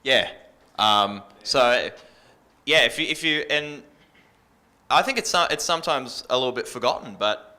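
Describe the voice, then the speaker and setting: A man's voice: medium pitch, expressive, a little slow, long pauses, pushed and bright. A man with an Australian accent giving casual, informal advice as a radio presenter on a workshop panel. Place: live panel workshop indoors.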